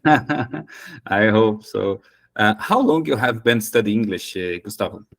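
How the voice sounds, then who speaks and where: Brazilian guy in his 30s doing a casual interview, kinda low voice, says stuff twice in different words. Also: big pitch swings, measured pace, vocal fry. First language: Portuguese. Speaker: host.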